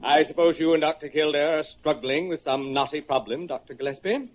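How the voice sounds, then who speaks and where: A man's voice: a little high, fairly quick, natural voice. A middle-aged man playing a fussy, unctuous hospital chief in a 1940s radio drama, effusive and pompous. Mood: obsequious. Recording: dull vintage radio recording.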